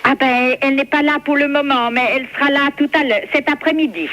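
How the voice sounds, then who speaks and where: A woman's voice: high-pitched, fairly even intonation, quick pace, a bit bright. A woman in her fifties from France chatting casually on a phone call, accommodating and hesitant. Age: 50s. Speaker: member of the public receiving prank call. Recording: phone call recording with faint noise.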